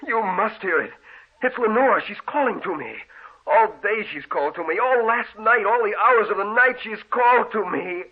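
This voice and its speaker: A man's voice: high-pitched, moderate pace, husky. An actor playing a distraught bedridden man obsessed with his dead beloved, insistent and melodramatic, in old-time radio horror style. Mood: feverish longing. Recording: tinny, band-limited old radio recording.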